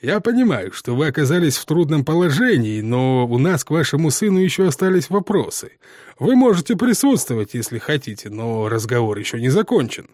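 A man's voice: a little high, very animated, brisk, darkish tone, no room sound, clean studio sound. Man doing a pompous, swooping senior police inspector. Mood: officious, placating. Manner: formal, insistent, self-important. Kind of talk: dramatised audiobook dialogue.

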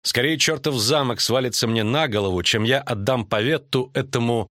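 A man voicing a haughty, sardonic queen in a fantasy audiobook, measured and tight-lipped. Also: mid-range voice, a bit bright, dry recording, studio quality, close to an everyday voice. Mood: icy, sardonic. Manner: measured, through clenched teeth.

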